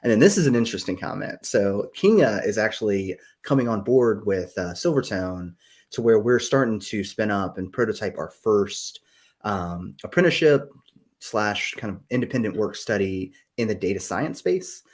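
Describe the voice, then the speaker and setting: Low-voiced podcast host, rambling. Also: male voice, animated, moderate pace. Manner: enthusiastic, rambling, many fillers. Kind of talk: casual live-stream podcast chat.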